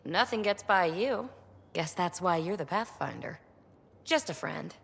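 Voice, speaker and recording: An actress playing a sly, secretive loner explorer in a video game, wry, teasing and evasive. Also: female voice, on the low side, animated, unhurried, creaky, fairly bright tone, slightly rough, heavily acted. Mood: wry, breezy. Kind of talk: video game dialogue acting. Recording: long reverberant hall sound.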